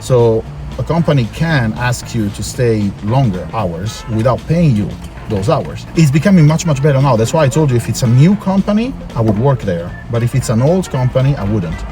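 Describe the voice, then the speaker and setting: A man from Italy speaks as a guest in a casual interview, in a mid-pitched voice. He explains himself at length and repeats points for emphasis. Music plays clearly behind his talk. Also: very animated, normal speed, poor audio. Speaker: interview guest, foreign worker in Japan. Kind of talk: casual street-interview chat. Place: in or by a car.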